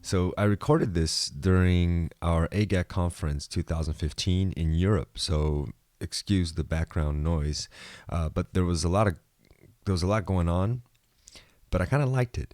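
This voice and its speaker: Male podcast host, low voice, upbeat, chatty, casual interviewer. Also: moderate pace, a little mellow, no echo, studio quality. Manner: upbeat and chatty, loose phrasing with fillers. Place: business school campus during a conference.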